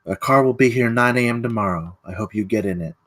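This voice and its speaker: A man reading a measured, secretive government agent. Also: on the low side, fairly bright tone, dry recording, studio quality, lightly acted. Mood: persuasive. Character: Sasha, secretive, persuasive government agent recruiting youngsters. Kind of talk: table read of a screenplay.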